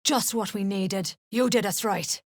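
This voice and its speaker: An actress playing a tough, foul-mouthed duergar mercenary in a fantasy game, blunt and menacing in manner. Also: female voice, mid-range voice, measured pace, dry recording, studio quality, natural voice. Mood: surly, blunt. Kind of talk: fantasy game character acting.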